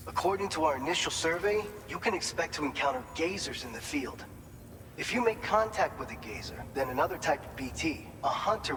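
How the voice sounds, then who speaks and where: A man acts out an upbeat male staffer in a game cutscene, giving briefings in a slightly high voice. He explains things informally and encouragingly, in a long, hall-like reverb with clear noise behind him. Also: fairly bright tone, natural voice. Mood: encouraging, instructive. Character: chatty, upbeat male distribution center staffer briefing a courier. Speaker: briefing officer. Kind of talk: video game cutscene dialogue.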